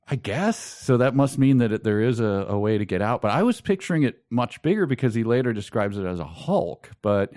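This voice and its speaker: A man co-hosting a casual, humorous discussion of a book, speaking in a wry, amused and halting way. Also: medium pitch, animated, darkish tone, no echo, clean studio sound. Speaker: podcast co-host.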